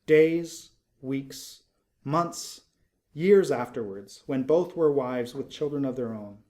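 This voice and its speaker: A man reading narrative poetry aloud as a dramatic narrator, expressively, in measured phrases with deliberate pauses. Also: medium pitch, warm tone.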